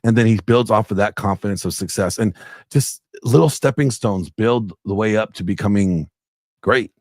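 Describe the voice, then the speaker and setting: A man's voice: fairly low pitch, moderate pace, darkish tone, no echo, studio quality. Earnest rambling man on a video call. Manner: earnest, rambling with many fillers. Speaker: guest. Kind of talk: casual interview chat.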